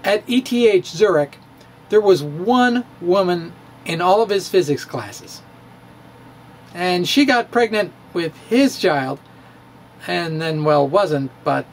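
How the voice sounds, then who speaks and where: A man's voice: high voice, lively intonation, a little hiss or hum. A middle-aged man giving a playful, theatrical dramatic reading of his own physics blog into a studio mic in a room at home. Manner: theatrical, playful, with pauses and dips. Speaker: physics blogger. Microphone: studio mic in front of him.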